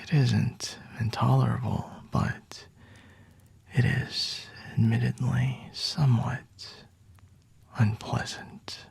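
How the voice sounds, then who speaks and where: A man playing a flirty, teasing, predatory vampire in an ASMR roleplay, with a breathy, husky whisper and intimate delivery. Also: medium pitch, level tone, slow pace, darkish tone, exaggerated voice. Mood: intimate, possessive.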